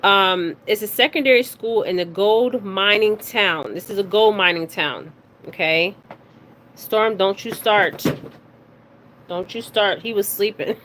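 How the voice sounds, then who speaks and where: Halting woman on a noisy recording. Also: mid-range voice, fairly bright tone. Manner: halting, stumbles over words, often checks in with "okay?". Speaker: spiritual reader and card reader hosting her own video. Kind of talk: casual psychic reading, reading news details aloud. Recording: clearly noisy recording with background ambience.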